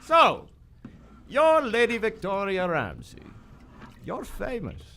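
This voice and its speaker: Salty sea captain, high forceful voice, swinging intonation, gruff temper, radio adventure, noisy live recording. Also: male voice, huge pitch swings, slow, exaggerated voice. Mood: wry, grumbling. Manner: barks colorful insults and orders. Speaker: actor in live radio theater. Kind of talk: melodramatic radio adventure acting. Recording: live stage recording with background noise.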